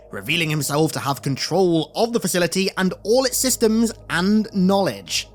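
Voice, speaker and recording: A man hosting a video, delivering scripted commentary that recaps and breaks down a game's story with energy. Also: fairly high pitch. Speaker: YouTube host recapping video game story.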